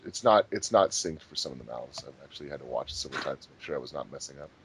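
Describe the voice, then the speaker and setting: A man's voice: extremely low pitch, expressive, rapid-fire, slight background noise. A man giving casual, enthusiastic group commentary on a fan dub he worked on. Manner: enthusiastic, rambling fan talk. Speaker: fandub director on a commentary track.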